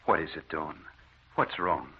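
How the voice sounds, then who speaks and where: An actor playing a grave, devoted doctor in an old radio drama, speaking in a formal, measured and courteous way. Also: male voice, rumbling low, slightly rough, full character voice. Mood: concerned. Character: grave, devoted doctor husband addressing the press. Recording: dull, muffled old radio recording.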